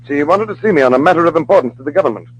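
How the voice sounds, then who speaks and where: An actor playing a polite, composed and formal sleuth with a wry touch, in an old radio drama. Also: male voice, fairly high pitch, lively intonation, a little hiss or hum, close to an everyday voice, poor audio. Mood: polite, probing. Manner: polite, formal, measured phrasing. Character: courteous, composed magician detective, mildly wry. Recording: old radio broadcast recording.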